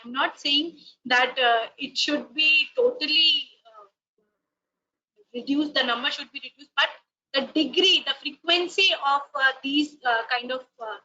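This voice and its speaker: A woman's voice: sits high, measured pace, pushed and bright. A woman with an Indian English accent, a native Rajasthani speaker, giving a webinar talk with frequent hesitations. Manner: many hesitations, polite and explanatory. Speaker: webinar speaker, language teacher. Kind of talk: lecturing in a webinar. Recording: dull lo-fi online call audio.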